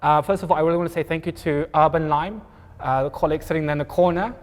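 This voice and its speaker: A man from Pretoria with an Afrikaans accent, moderating a public panel talk in a halting way, with many ums and restarts. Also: on the high side, in a large hall. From Pretoria, South Africa.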